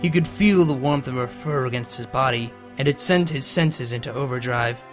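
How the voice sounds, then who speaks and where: A man's voice: medium pitch, normal speed. A man who reads fan fiction aloud and hosts his own channel. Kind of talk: dramatic scripted story narration, then casual outro. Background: music.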